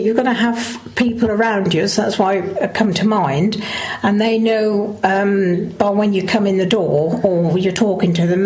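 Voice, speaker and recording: A woman's voice: medium pitch, darkish tone, rough recording. A middle-aged or older woman with an English accent, answering interview questions hesitantly and repeating words. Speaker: mental health service user.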